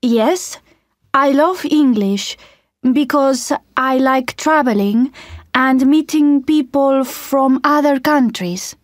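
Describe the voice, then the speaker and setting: High-voiced young woman answering slowly. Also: no room sound, clean studio sound. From Italy. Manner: slow, careful answers. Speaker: exam candidate. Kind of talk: scripted exam answers.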